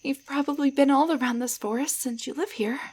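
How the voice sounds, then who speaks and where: A woman voicing a timid, grateful tiny enchanted doll in an intimate roleplay audio drama, speaking softly, gently and haltingly with a breathy voice. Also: sits high, fairly even intonation, close to an everyday voice. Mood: shy wonder. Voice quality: soft, breathy.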